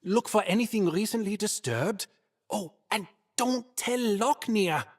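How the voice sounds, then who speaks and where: A woman voicing an eager, eccentric scholar of ancient relics in a fantasy video game, with a halting, conspiratorial manner. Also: mid-range voice, lively intonation. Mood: excited, conspiratorial.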